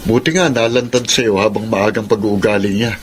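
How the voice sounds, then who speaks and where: A man's voice: lowish voice, fairly even intonation, moderate pace, crowd noise, close to an everyday voice. A man playing a loyal, reassuring younger brother in a Filipino radio drama. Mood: indignant, consoling.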